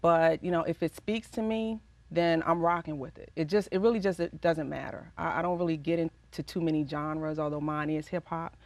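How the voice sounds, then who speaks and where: Guest: raspy low-voiced woman, thoughtful and unhurried, recorded dry and close. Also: fairly even intonation, no room sound. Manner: reflective, pauses with frequent ums. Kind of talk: casual interview answers.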